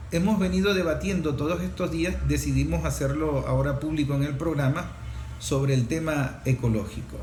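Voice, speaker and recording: A man with a Venezuelan accent hosts a talk show in a somewhat high voice. He speaks without a script, halting and reworking phrases as he goes. The room is clearly echoey, with faint noise behind him. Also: a little mellow. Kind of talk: unscripted opinion commentary and guest introduction.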